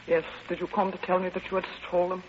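A woman's voice: on the low side, flat delivery, very fast, close to an everyday voice. A woman playing an earnest, stern Soviet envoy in an old-time radio drama, on a muffled, noisy recording. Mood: cool, defiant.

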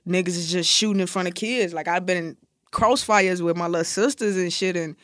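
A man's voice: high-pitched, brisk, fairly bright tone, no room sound, studio quality. A man with a Chicago accent, a guest in a casual interview, telling stories in loose, candid slang with plenty of swearing. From Chicago, United States. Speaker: interview guest, music artist. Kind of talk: casual storytelling chat.

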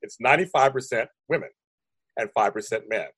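Brief: man, 50s, deep and husky, rapid emphatic interview guest, dry close sound. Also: fairly bright tone, no room sound. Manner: rambling, restarts sentences, emphatic. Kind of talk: animated conversational interview.

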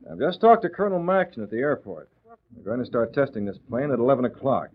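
An actor in an old radio drama playing a calm, authoritative newspaper publisher who speaks in a measured, businesslike way. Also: male voice, medium pitch, a little hiss or hum, natural voice. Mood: firm. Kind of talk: 1940s radio acting. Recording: tinny old radio recording.

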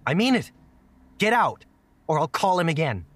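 A man's voice: a little high, big pitch swings, normal speed, a bit bright, dry recording. A woman voicing an anxious young man who stutters as he finally stands up to his controlling partner. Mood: shaky defiant. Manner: stuttering, shaken, defiant. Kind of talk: audio drama acting.

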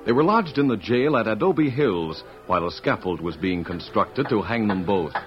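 A man's voice: on the low side, lively intonation, normal speed. A man with an American accent narrating an old-time radio show in a grand, theatrical, emphatic manner. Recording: muffled vintage radio recording with music.

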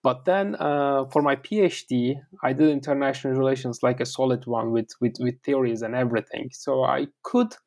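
A man's voice: medium pitch, moderate pace, gravelly, hardly any pauses, darkish tone. A man from Turkey with a Turkish accent, a guest author on an interview podcast, talking in a rambling way with many fillers and repeated words.

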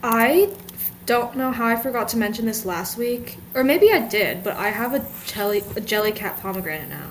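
A woman's voice: on the high side, animated, moderate pace, slight background noise. A woman in her twenties co-hosting a podcast, chatting casually with many fillers and trailing sentences. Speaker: podcast co-host. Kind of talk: casual chat.

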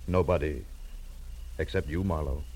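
An actor playing a guilt-burdened, devoted widower in a 1950s radio drama, speaking in an earnest, halting, emotional way. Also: male voice, steady tone, darkish tone, slightly rough. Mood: resigned. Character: Mr. Tanner, guilt-burdened, devoted widowed husband, resigned and protective. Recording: noisy, rumbling vintage radio recording.

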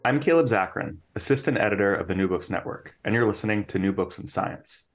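A man's voice: on the low side, fast, over music. A young man hosting an interview podcast, talking hesitantly with lots of restarts and fillers. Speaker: podcast interviewer, assistant editor.

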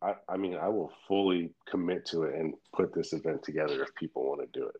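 A man's voice: very deep voice, fast, slightly rough. A man in casual chat, talking frankly and rambling, swearing freely and laughing often. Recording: dull lo-fi audio.

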